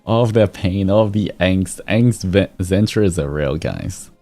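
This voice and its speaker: Male streamer, very low register, slow unhurried delivery, reading stories and chatting to viewers. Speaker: VTuber streamer host. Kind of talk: livestream fan-fiction reading with casual chat. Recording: soft music behind. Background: background music.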